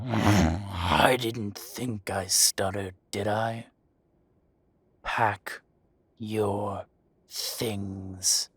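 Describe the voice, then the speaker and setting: A man playing a menacing, possessive dragon-shifter mafia boss, speaking in slow, condescending threats in a dramatic audio roleplay. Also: lowish voice, steady tone, a bit bright, breathy edge, dry recording, heavily acted. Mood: commanding, threatening. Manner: slow, deliberate, condescending threats with heavy pauses. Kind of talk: dramatic roleplay monologue addressed to the listener.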